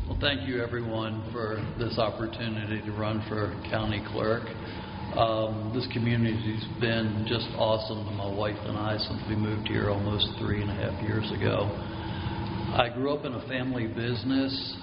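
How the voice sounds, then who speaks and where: A middle-aged man with a lowish, very flat voice speaks plainly in a candidate's opening statement. He is heard through a dull mic in a reverberant hall, over a loud steady hum. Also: moderate pace, vocal fry.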